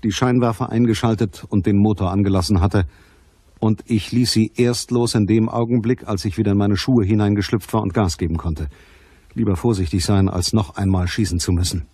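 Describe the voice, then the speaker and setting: Low-voiced, sardonic hard-boiled detective. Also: male voice, steady tone, fairly quick, mellow, breathy edge, dry recording. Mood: wry narration. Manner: dry, curt, mocking. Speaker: actor playing the detective and narrator. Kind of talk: German crime radio drama acting and narration.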